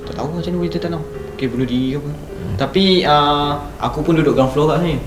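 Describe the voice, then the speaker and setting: A man's voice: a little high, rapid-fire. A young man with a Malaysian accent, chatting casually as he tells ghost stories. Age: young adult. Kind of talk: casual ghost-story chat. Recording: roomy, distant mic, faint music.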